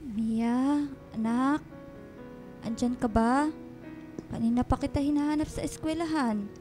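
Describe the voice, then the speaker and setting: A woman playing a gentle, caring older nanny in a radio drama, soothing and patient. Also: sits high, a little slow, music in the background. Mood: worried, tender. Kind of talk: Filipino radio drama acting.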